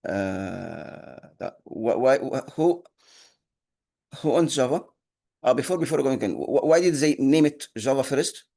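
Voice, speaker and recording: A man whose first language is Arabic, teaching an online programming class, halting with many fillers and restarts. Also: mid-range voice, expressive, gravelly, mellow. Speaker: instructor. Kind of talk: online lecture.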